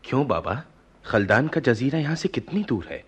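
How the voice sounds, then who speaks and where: Lost young prince, rough-edged voice, very expressive, radio drama. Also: male voice, mid-range voice, normal speed. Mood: tired, pleading. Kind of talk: radio play acting.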